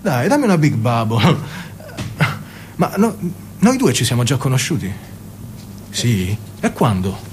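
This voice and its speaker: An actor playing a smooth-talking young man, a coaxing charmer in a radio play. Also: mid-range voice, sing-song, a little slow, long pauses, slight background noise. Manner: coaxing, wheedling, with sudden laughs.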